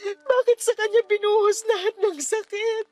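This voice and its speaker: A woman's voice: very high voice, fairly even intonation, moderate pace, mellow. A woman playing a worn-out, despairing young mother in a Filipino radio drama, with a Filipino accent and a sullen, pleading manner. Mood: tearful, anguished. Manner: curt and sullen, breaking into tearful pleading. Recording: music behind the voice.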